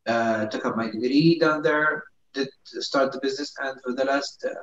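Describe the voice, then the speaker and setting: A man from Saudi Arabia speaking as a founder on an online panel, halting and searching for words. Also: medium pitch, a bit bright, slight background noise. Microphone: video call. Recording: roomy, dull video call audio.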